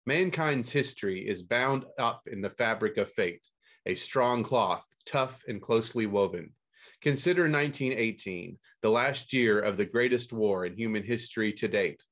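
A man's voice: fairly low pitch, normal speed, fairly bright tone. Man hosting a book podcast. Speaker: stand-in podcast host and book editor. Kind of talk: scripted podcast reading of book news and blurbs.

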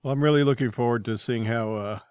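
A man hosting a casual interview, speaking haltingly as he searches for his words. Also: mid-range voice, darkish tone, smooth. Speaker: interview host.

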